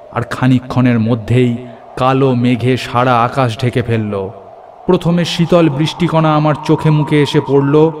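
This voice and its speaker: A man narrates a scripted first-person horror story in a measured, descriptive storytelling manner. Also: mid-range voice, darkish tone, slight background noise. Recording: music under the voice.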